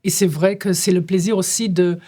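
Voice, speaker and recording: A woman's voice: lowish voice, steady tone, moderate pace, warm tone, breathy edge. Hesitant, thoughtful German-native woman director. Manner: thoughtful, searching for words with many hesitations. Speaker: stage director. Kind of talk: reflective conversation.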